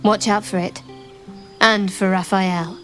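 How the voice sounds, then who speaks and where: Cool, guarded fantasy adventurer. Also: female voice, mid-range voice, steady tone, takes it slowly, a bit bright, soft background music. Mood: cool, warning. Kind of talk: scripted game dialogue.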